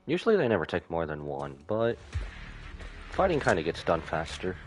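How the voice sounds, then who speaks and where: A man's voice: sits low, wildly swooping pitch, normal speed. A man giving casual, slangy live commentary as he streams a game, often muttering asides to himself. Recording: loud game music behind.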